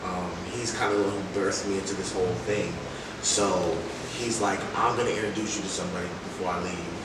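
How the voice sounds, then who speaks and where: A man's voice: low-pitched, little pitch movement, fairly quick. A young man being interviewed as a rapper, telling stories casually in informal, slangy talk and acting out remembered dialogue. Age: young adult. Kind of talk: casual conversational storytelling. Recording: echoey, distant mic, loud background noise.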